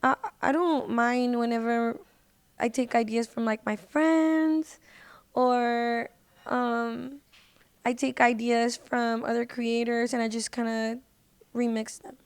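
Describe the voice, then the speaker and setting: A woman's voice: on the high side, steady tone, takes it slowly, clean tone, no room sound. A woman chatting casually as a podcast guest, in an informal, slangy way. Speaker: podcast guest, singer and social media creator.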